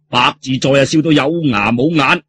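A man's voice: medium pitch, a bit bright, breathy edge, no echo. A man with a Cantonese accent telling a story in a dramatic, colloquial audiobook style.

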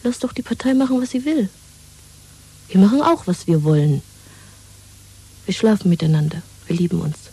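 A woman's voice: mid-range voice, normal speed, pauses often, warm tone, slightly rough, poor audio. A woman playing a pragmatic, mocking secret lover in a 1970s dystopian radio play, intimate and half-whispered. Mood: intimate, persuasive.

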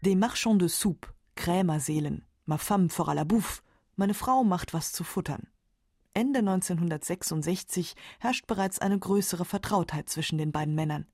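A woman's voice: on the low side, normal speed, a little mellow, no room sound. A woman reading scripted narration for a literary radio documentary, close on a studio microphone.

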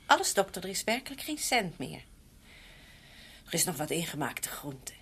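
A glum housekeeper in a radio play. Her voice is husky and fairly low, and she speaks with deference. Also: sing-song, normal speed, a bit bright, exaggerated voice. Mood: resigned, glum. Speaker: actress. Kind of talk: Dutch radio drama acting.

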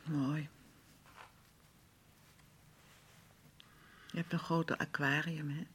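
A woman's voice: deep voice, level tone, normal speed, a little hiss or hum, close to an everyday voice. An actress playing a fragile, naive grown woman in a radio drama, speaking breathily and often near a whisper in simple, hesitant phrases. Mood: wistful, confused. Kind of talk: naturalistic Dutch radio drama acting.

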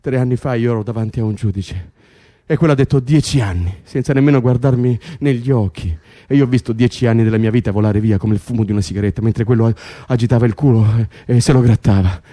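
A man's voice: medium pitch, fast talker, mellow, close to an everyday voice. Actor as agitated, foul-mouthed male prisoner. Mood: overwhelmed, reflective. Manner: breathless repetitions, stammering, swearing. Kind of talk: Italian radio drama acting, conversational and intense.

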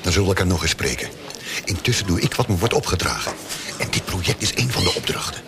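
An actor playing a dutiful, ambitious and defensive lieutenant in a radio drama, with a gravelly voice and an earnest, pedantic manner. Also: male voice, deep voice, big pitch swings, fast talker, noisy background, exaggerated voice. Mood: stiff, defensive.

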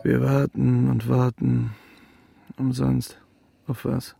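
Radio drama: disillusioned young heir, very gravelly, flat delivery, terse, stubborn, resigned. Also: male voice, medium pitch, takes it slowly. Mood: resigned, frustrated. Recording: music clearly behind the voice. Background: music.

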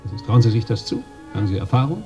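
A man's voice: mid-range voice, animated, quick pace, highs cut off, music in the background, close to an everyday voice. An actor playing a jovial but condescending European trade agent in a radio drama, chummy and swaggering in his colloquial talk. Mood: businesslike, casual.

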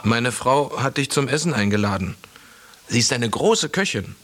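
An actor playing a hen-pecked village blacksmith in a radio drama, who speaks plainly and a little hesitantly. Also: male voice, mid-range voice, lively intonation, normal speed, close to an everyday voice.

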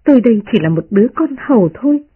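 A performer voicing a sensible young maidservant woman who scolds gently and respectfully, in a storybook reading. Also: mid-range voice, expressive, fast talker, slightly rough, phone-quality sound, voice pushed up. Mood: earnest, reproving. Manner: earnest, reproving.

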